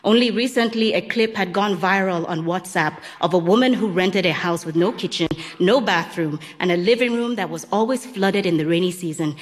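A woman reading a first-person literary story aloud in a calm, measured way. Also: fairly low pitch. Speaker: audiobook narrator. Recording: long reverb on the voice.

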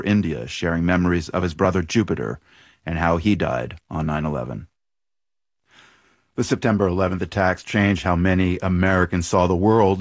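A man's voice: low voice, dry recording, studio quality. A man reading scripted narration as the host of a radio documentary.

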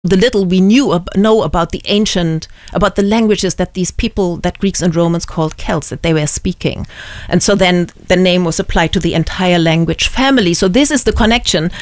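A woman's voice: on the low side, brisk, no room sound. A woman with a German accent, a museum curator who answers interview questions thoughtfully, with many ums and restarts.

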